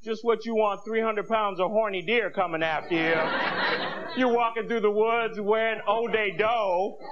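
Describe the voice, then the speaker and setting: An American man in his thirties performing stand-up comedy on stage in an animated, exclaiming manner, speaking into a handheld microphone. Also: high voice, moderate pace. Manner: animated, exclaiming, acting out bits. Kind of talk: stand-up comedy routine to an audience. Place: comedy club stage with audience. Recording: muffled VHS TV recording, noisy audience. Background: audience noise and laughter.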